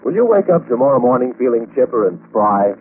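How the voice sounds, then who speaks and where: A man's voice: medium pitch, big pitch swings, quick pace, narrow, tinny audio. A male announcer reading a 1940s radio advertisement in a polished, persuasive, emphatic manner. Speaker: commercial announcer. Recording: thin, noisy vintage radio recording.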